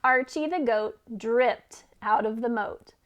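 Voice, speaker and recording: A woman's voice: on the high side, slow, dull audio. A woman reading a children's story aloud expressively, as a teacher who puts questions to listeners.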